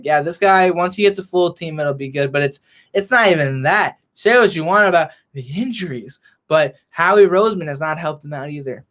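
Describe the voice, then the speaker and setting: A young man ranting as a frustrated sports fan vlogger, hoarse and losing his voice, reading and answering chat. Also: fairly high pitch, fairly bright tone. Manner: frustrated, heated rant.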